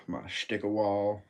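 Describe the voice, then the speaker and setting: A young man with a congested, nasal voice, chatting casually and rambling with jokes on a livestream from his room. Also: on the low side. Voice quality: nasal, stuffy. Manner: rambling, joking, easily sidetracked. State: congested from a cold.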